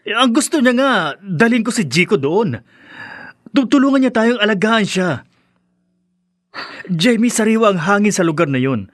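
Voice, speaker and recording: A man playing an anxious, placating husband who speaks haltingly in a radio drama. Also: high voice, expressive, no echo, clean studio sound. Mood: defensive, pleading. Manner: hesitant, halting. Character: anxious, placating husband torn between wife and mother. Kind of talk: Tagalog radio drama acting.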